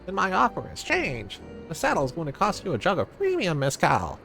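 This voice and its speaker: A shrewd little saddle maker from a fantasy game, played by a man, haggling in a high, very animated voice. The delivery is insistent and gravelly, over background music. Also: normal speed, heavily acted. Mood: shrewd, unyielding. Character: small shrewd Pelupelu saddle maker, hard-bargaining merchant. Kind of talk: fantasy game dialogue read aloud. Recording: music under the voice.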